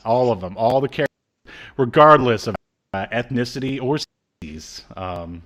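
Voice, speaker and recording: A man's voice: medium pitch, expressive, moderate pace, fairly bright tone. A man in his thirties co-hosting a casual media review podcast, rambling hesitantly with dry asides. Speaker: podcast co-host. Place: small room at home. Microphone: video call setup.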